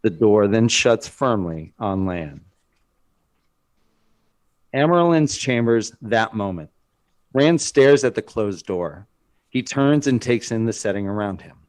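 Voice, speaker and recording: A man reading scripted dramatic narration as the narrator of a screenplay. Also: fairly low pitch.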